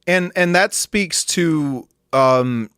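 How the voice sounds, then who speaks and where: A man's voice: on the high side, drawn-out words, fairly bright tone, smooth, no echo, studio quality. A man hosting a podcast interview, talking in a thoughtful, conversational way. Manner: conversational, thoughtful, many fillers and restarts. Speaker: podcast host.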